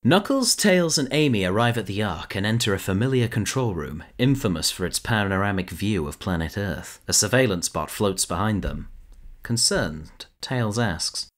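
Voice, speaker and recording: A woman narrating a fan audio drama in a plain, even storytelling manner. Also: a bass voice, normal speed. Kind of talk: scripted narration.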